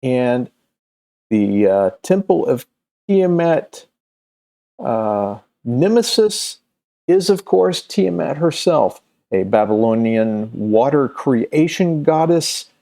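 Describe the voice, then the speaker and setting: A man presenting casually and unscripted as a comics video host, halting and often searching for his words. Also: mid-range voice, expressive, creaky, dark tone. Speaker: comics video host and creator promoting his own campaign.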